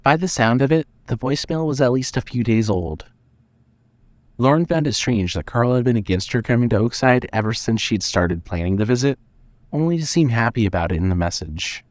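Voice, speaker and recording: A man's voice: medium pitch, animated, fairly quick, dry recording. A man narrating scripted suspense fiction as the storyteller of an audio drama.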